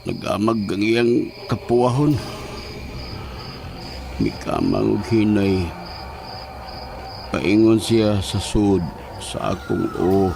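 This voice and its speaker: Slow, gravelly, deep-voiced entranced man, acted by a man. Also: steady tone, noisy background. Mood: dazed, entranced. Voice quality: low, gravelly. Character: entranced man, Dominic, slowly describing creeping visions. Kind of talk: horror audio drama acting. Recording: music bed under the voice.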